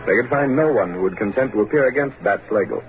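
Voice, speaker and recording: A man's voice: medium pitch, expressive, fast. A man delivering scripted narration as the narrator of an old radio drama. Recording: muffled old radio recording with music.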